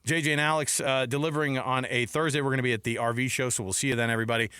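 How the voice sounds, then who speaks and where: Bright-voiced man hosting sports radio. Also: mid-range voice, steady tone, rapid-fire, smooth, no room sound, clean studio sound. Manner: informal, bantering. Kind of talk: casual sports talk with sponsor reads. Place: radio studio. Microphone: close studio microphone.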